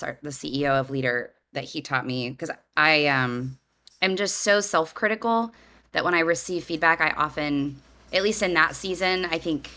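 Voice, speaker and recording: A middle-aged woman co-hosting a podcast, chatting casually in an animated, self-deprecating way and laughing easily. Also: low-pitched. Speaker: podcast co-host. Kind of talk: casual chat.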